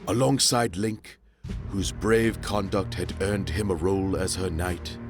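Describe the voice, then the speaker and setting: Deep man, solemn game narration, slow, varied pitch, music under. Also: fairly even intonation. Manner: solemn, storytelling.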